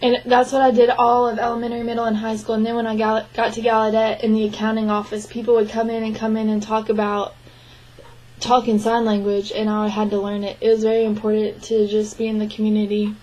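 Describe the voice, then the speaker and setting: A woman voicing the spoken interpretation of a signed interview, speaking in an even, measured way with frequent restarts. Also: on the high side, rough recording. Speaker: sign language interpreter voicing a deaf man's answers.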